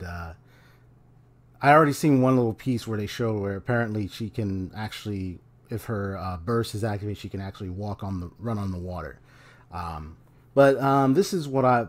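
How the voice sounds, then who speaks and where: A young man casually reacting to a game trailer at home, speaking in a rambling, hesitant way into a desk mic. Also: on the low side. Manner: rambling, hesitant, many restarts, relaxed. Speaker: video game reaction youtuber. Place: small room at home, indoors. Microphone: desk microphone on a stand.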